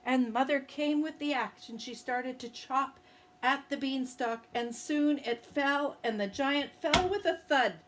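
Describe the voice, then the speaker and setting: Slow, gentle children's storyteller woman. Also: high voice, noisy background. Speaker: early-years storyteller.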